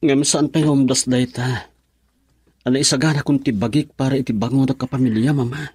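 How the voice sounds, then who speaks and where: A husky, heartbroken young husband. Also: male voice, mid-range voice, fairly even intonation, fairly quick, darkish tone. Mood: pleading. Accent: Ilocano. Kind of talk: radio drama acting.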